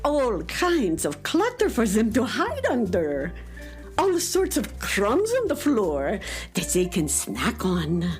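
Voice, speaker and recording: A woman's voice: a little high, wildly swooping pitch, takes it slowly, soft background music, exaggerated voice. A woman playing a patient but firm cat mother in a children's radio play, nagging with exasperation. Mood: firm, lecturing.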